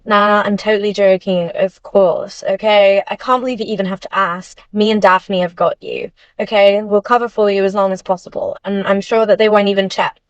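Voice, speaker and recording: Woman acting a smooth-voiced, teasing teen roommate. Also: mid-range voice, little pitch movement, normal speed, hardly any pauses, no echo, close to an everyday voice. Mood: teasing, reassuring. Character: teasing, supportive teenage schoolgirl roommate. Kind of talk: acted dialogue for an amateur voiced game series. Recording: dull lo-fi voice track.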